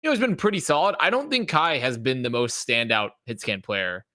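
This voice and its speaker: Guy breaking down esports matches, forceful bright voice, dry close sound. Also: mid-range voice, expressive, fairly quick, no echo. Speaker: podcast co-host and esports analyst. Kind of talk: casual match analysis.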